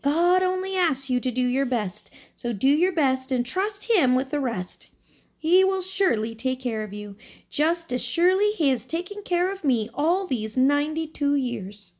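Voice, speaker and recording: Kindly old lady of ninety-two, feeble and high, chirping slowly, as a woman reads her. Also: very animated. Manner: chirpy, slow and gushing.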